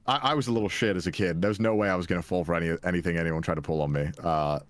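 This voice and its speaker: A young Welsh man being interviewed, telling stories from his past in a loose, self-deprecating way. His voice sits very low, and the recording is close and dry with no room sound. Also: fairly even intonation, very fast, dry recording. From Wales. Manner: chatty, self-deprecating, frequent restarts and laughs. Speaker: guest. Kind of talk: casual interview chat.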